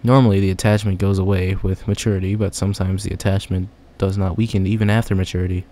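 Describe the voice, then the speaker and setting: A man's voice: deep voice, little pitch movement, quick pace, mellow. A young man playing a game casually, reading its text aloud and joking about it. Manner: chatty, reads text then jokes about it. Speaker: gamer.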